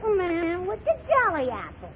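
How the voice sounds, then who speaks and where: A woman's voice: very high-pitched, wildly swooping pitch, moderate pace, fairly bright tone, exaggerated voice. A woman playing a mischievous, naive little girl who pesters with questions in a 1930s radio comedy. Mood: innocent pestering. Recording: muffled, hissy 1930s radio recording.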